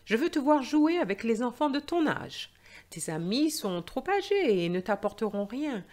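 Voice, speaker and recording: Storybook Father Christmas, read by a woman: kindly, earnest old gift-giver, raised pitch, very expressive intonation. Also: normal speed. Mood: earnest, caring. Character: kindly Father Christmas, old man giving gifts and advice. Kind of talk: storybook read-aloud dialogue.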